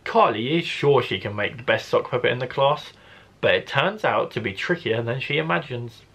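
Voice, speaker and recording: A male primary school teacher reads aloud for children. His voice is mid-pitched and a little husky, and he tells the story expressively with careful, deliberate phrasing. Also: lively intonation, fairly bright tone.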